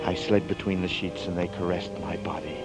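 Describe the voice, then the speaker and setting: An actor playing a weary, confused man on the run in a 1940s radio drama, talking haltingly and narrating his own story. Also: low voice, fairly even intonation, natural voice. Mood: reflective. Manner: hesitant, halting, bewildered. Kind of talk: 1940s radio acting with first-person narration. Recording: dull old radio recording with music behind.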